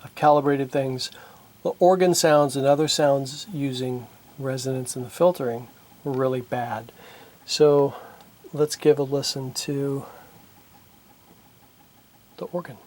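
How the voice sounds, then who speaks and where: A man talking casually through his repair work at a home workbench, thinking aloud and halting with fillers, recorded on a camera microphone. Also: mid-range voice, darkish tone. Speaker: hobbyist synth repairer. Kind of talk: casual narration while working. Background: synthesizer being played.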